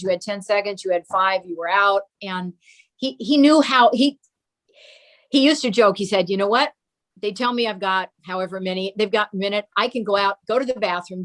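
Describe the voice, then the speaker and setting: Casting: older American woman, interview guest, mid-range voice, reflective storyteller who pauses and repeats for emphasis. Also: mid-range voice. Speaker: interview guest, daughter of a radio and TV performer. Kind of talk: conversational reminiscence in an interview.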